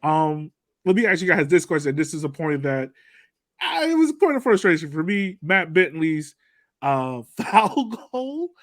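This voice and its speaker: Man, fairly high voice, podcast host, casual sports chat, conciliatory, dry close sound. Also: sing-song, measured pace, a bit bright, no echo. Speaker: podcast host steering discussion.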